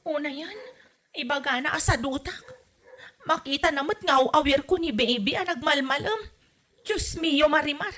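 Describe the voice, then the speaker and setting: A woman's voice: sits high, normal speed, pushed and bright. A woman playing a nagging mother-in-law who complains about the household to her grown son in exasperated, scolding comedy drama style. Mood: exasperated complaining. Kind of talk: comedy radio drama acting.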